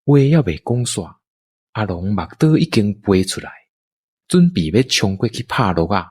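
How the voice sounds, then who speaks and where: A man's voice: lowish voice, very animated, normal speed, darkish tone, slightly rough. A man with a Taiwanese accent narrating a scripted adventure story.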